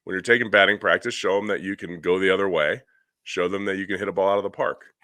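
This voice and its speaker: Low, bright-toned coach explaining hitting. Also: male voice, steady tone, quick pace, no room sound. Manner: explanatory, with many restarts and "you know". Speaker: hitting coach and podcast host. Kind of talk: casual podcast discussion.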